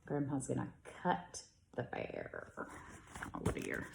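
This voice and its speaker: An American woman reads aloud as a kindergarten teacher to small children. Her pitch moves up and down a great deal, and she is expressive, pausing to explain things in simple words. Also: vocal fry. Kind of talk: animated read-aloud to young children.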